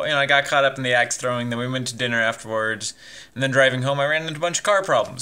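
A man's voice: medium pitch, bright, forceful tone, clear, resonant tone, dry recording. A young man hosting a casual road-trip vlog, talking easygoing and straight to the camera from his car. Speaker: vlogger host. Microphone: camera mounted in car, facing him.

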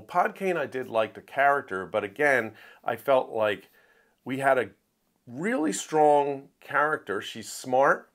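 A man's voice: mid-range voice, fairly bright tone. A man hosting a review show, talking in a casual, opinionated monologue and getting emphatic when he makes a point. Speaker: host of a book review show.

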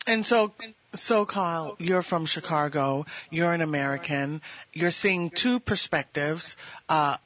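A woman's voice: deep voice, unhurried, lo-fi sound. A woman hosting a radio show, leading the interview and recapping the guest's points. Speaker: radio host.

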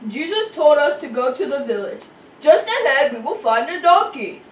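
A woman voicing an earnest, solemn puppet messenger in a children's Bible skit, declaiming deliberately. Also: high voice, big pitch swings, recorded from a distance, slight background noise. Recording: echoey room, off microphone.

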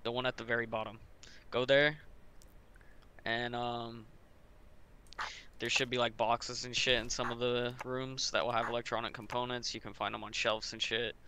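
A man with a bright, forceful voice of average pitch. He talks as a fellow player over game voice chat, slangy, profane and offhand. Faint noise sits in the background. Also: flat delivery, normal speed, pauses often.

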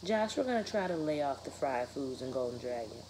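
A serious mother laying down the rules to her teenage son, acted by a woman reading aloud. The tone is mellow and firm, in a storybook style with faint noise. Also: extremely low pitch, animated, brisk, rough recording. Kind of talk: children's novel read-aloud.

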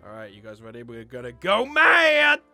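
An excitable man hosting a party word game in casual banter with friends. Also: high-pitched, normal speed, vocal fry, no echo, faint music behind. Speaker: host.

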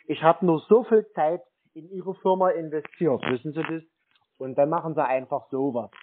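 A man on a phone call, arguing as a dissatisfied customer in a long-winded, insistent, scolding way. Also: on the high side. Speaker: caller posing as an aggrieved customer. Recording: narrow, tinny phone-like sound. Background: phone call recording.

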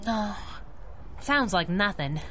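Bright, feisty masked heroine, lively delivery. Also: female voice, mid-range voice, slow pace, slight background noise. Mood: angry, frustrated. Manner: slangy, wisecracking 1930s tough talk. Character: feisty, protective young female masked crimefighting sidekick, slangy. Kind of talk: old-time radio drama acting.

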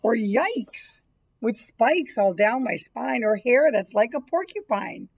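Higher-pitched, slightly husky woman reading a storybook. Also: expressive, slow. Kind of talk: children's storybook read-aloud. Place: small indoor school room or library.

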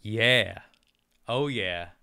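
A young man chatting casually on a live stream, jokingly boastful, reading chat aloud and trying out halting beginner Portuguese in a small home room on a close studio mic. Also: fairly low pitch, vocal fry, pushed and bright, clear, resonant tone, dry recording. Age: young adult. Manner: jokey, playfully boastful, mixes in halting learner Portuguese. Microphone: studio mic on arm with headphones.

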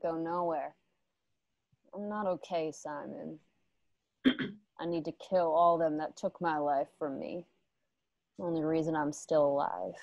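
An actress plays a vengeful young woman warrior in a radio drama. She has a slow drawl and a bitter, dangerous edge. The sound is dry. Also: sits low, fairly even intonation, vocal fry, darkish tone, no echo, highs cut off. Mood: subdued, bitter. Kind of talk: radio drama acting over video call.

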